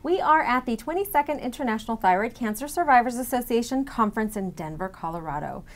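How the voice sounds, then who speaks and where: A woman's voice: fairly high pitch, expressive, quick pace. A middle-aged woman interviewing a guest with semi-scripted questions. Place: indoor event room with banner backdrop.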